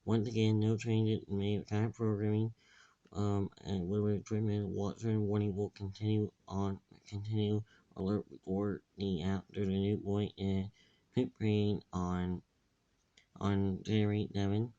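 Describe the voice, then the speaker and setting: A man giving rambling casual commentary in a halting, repetitive way with muddled wording. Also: little pitch movement, dark tone, clear, resonant tone. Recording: dull, echoey low-fidelity recording.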